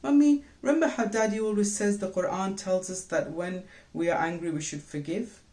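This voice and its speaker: A man's voice: high voice, normal speed, mellow, voice pushed up, natural voice. An eager, anxious little boy from a storybook , read by a man. He speaks earnestly, and the sound is roomy and echoing. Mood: pleading. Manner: earnest, pleading.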